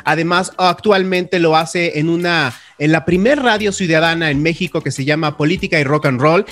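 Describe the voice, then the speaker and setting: A man with a Mexican accent hosting a radio show, presenting guests in a flowing, warm way. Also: on the high side, moderate pace. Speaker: radio host.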